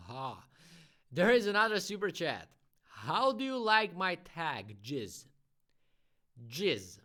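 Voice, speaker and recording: A man's voice: mid-range voice, bright, forceful tone. A man who speaks English as a second language, hosting a casual livestream Q&A as a graffiti artist and chatting frankly through his opinions. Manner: chatty and frank, giving opinions with friendly asides.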